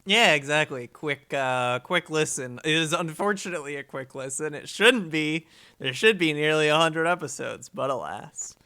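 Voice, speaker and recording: A man's voice: fairly high pitch, normal speed, pushed and bright, clear, resonant tone. A man hosting a live stream in a casual, informal way, often thinking aloud. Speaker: host of a radio listening stream.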